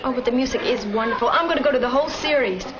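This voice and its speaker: A woman's voice: high-pitched, very fast, close to an everyday voice. A woman playing an enthusiastic teenage schoolgirl in a 1950s educational film. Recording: dull vintage soundtrack with crowd chatter.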